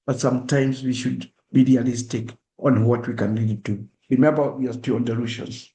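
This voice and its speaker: A man's voice: mid-range voice, steady tone, fairly quick, dark tone. A middle-aged man with a Kenyan accent giving an earnest, direct public talk and acting out examples in the first person. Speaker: speaker at a diaspora awareness event. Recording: echoey room.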